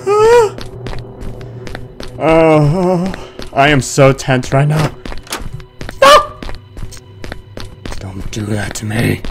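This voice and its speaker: A man's voice: a little high, huge pitch swings, very slow, creaky, pauses often, loud music behind the voice. A man hosting a horror game playthrough, talking to himself and to the game in a theatrical, jumpy way. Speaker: gaming video host.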